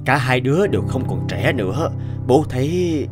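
A man playing a worried, gentle old farmer father giving advice in a cartoon drama. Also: mid-range voice, big pitch swings, moderate pace, over music, close to an everyday voice. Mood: concerned, advising. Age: older.

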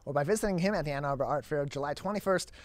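A man's voice: fairly high pitch, rapid-fire, no echo. A young American man hosting an interview and promoting events, speaking into a close studio microphone. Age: young adult. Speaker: talk show host. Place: indoor studio. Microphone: broadcast microphone on a boom arm, close.

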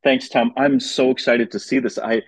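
A man's voice: mid-range voice, steady tone, moderate pace, breathy edge, no room sound. A man chatting casually as a guest commentator on an arts podcast. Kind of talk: casual chat.

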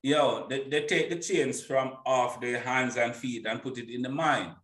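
A man's voice: medium pitch, steady tone, unhurried, a bit bright. A middle-aged man hosts an informal conversation in an opinionated, emphatic way. He repeats words to drive points home, and his microphone picks up a roomy echo. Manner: emphatic, repeating himself for stress. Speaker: interview host. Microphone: boom-arm microphone, headphones.

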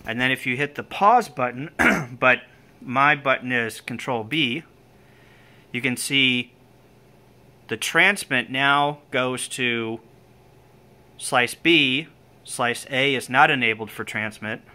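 A man giving an informal hands-on equipment demonstration as a hobbyist, explaining step by step in short, halting phrases. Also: mid-range voice, pushed and bright. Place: indoor room with equipment on folding tables. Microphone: handheld camera or phone, operator behind it.

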